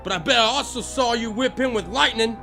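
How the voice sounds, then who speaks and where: An actor playing an ignorant, brash soldier, outraged and accusatory, in a comedy audio drama. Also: male voice, very high-pitched, fairly quick, gravelly, pushed and bright, faint music behind, exaggerated voice.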